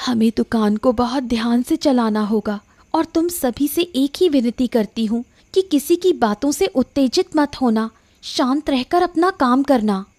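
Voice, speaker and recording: A woman's voice: high-pitched, a little slow, a little mellow, no room sound. A woman voicing an earnest, polite young village stallkeeper in a cartoon moral story. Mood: calm, resolute. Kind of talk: animated moral story dialogue in Hindi. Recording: music behind the voice.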